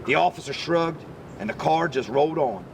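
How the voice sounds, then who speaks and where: A man reading a children's book aloud expressively like a teacher, pausing to quiz his listeners. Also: expressive, gravelly. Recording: distant mic, rumbly background noise.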